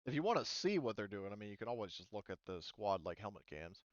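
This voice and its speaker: A man's voice: lowish voice, sing-song, quick pace, no room sound, highs cut off. A man running a tabletop roleplaying game as game master, explaining rules and action casually with some swearing.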